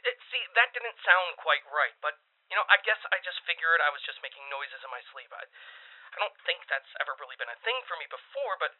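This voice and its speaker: An anxious actor playing a man with a fairly high voice confesses into a homemade podcast. He hesitates and rambles, uneasy and searching for sense. The recording is thin and boxed-in like a telephone, in the manner of a found-tape horror drama. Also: lively intonation, a little fast. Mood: unsettled, defensive. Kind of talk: found-footage audio drama, confessional monologue. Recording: muffled, tinny radio or phone-like sound.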